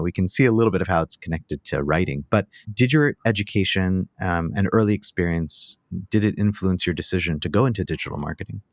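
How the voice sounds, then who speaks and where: A man's voice: low voice, no echo, studio quality. A man hosting a podcast, enthusiastic and casual, with lots of "like" and "you know". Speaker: podcast host.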